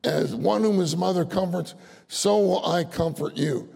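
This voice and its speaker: Older male preacher, high gravelly husky voice, folksy storyteller, echoing hall. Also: a little slow, darkish tone. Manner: folksy storytelling, laughs often, acts out voices. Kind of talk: sermon. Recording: long hall reverb.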